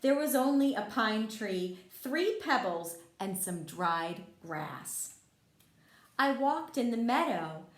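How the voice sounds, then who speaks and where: A woman voicing a friendly, gentle male frog telling a children's storybook tale in an expressive, reassuring way. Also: a little high, slow. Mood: wistful storytelling. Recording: echoey room.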